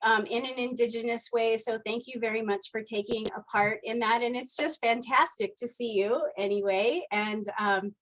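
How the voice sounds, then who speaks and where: A woman hosting a conference offers gracious, effusive thanks to a speaker. Her voice sits a little on the high side. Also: normal speed, few pauses, fairly bright tone, low-quality recording. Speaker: conference host.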